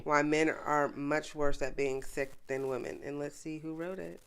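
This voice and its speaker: A woman's voice: deep voice, steady tone, normal speed, smooth. A young woman co-hosting a casual podcast with her partner, congested from a cold, chatty and teasing. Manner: chatty, teasing, reads search results aloud. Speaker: podcast co-host. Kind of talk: casual couple chat.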